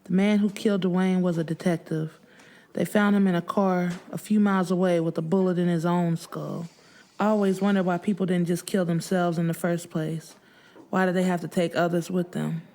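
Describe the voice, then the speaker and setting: A woman's voice: lowish voice, level tone, normal speed, mellow. A woman narrating first-person fiction as an audiobook, with emotive, sorrowful delivery.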